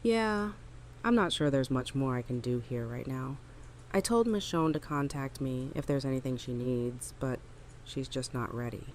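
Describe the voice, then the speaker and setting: A woman playing a caring, practical, quietly firm survivor in an audio drama. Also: extremely low pitch, normal speed. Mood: gentle, resigned. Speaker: voice actor in a fan audio drama. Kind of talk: conversational audio drama acting. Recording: clear background noise, ambience.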